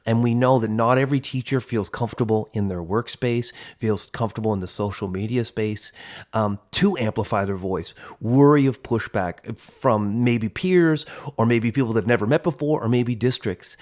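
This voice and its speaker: A man's voice: mid-range voice, moderate pace, darkish tone. Earnest man in his forties, podcast host. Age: 40s. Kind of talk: reflective podcast conversation.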